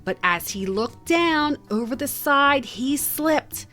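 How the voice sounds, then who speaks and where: A woman reading a children's picture book aloud in an expressive, measured storytelling manner. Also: high-pitched, faint music behind. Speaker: read-aloud host.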